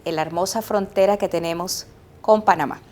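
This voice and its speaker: A middle-aged woman from Colombia, an epidemiologist answering interview questions in a measured, explanatory way. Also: fairly low pitch, warm tone. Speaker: epidemiologist guest.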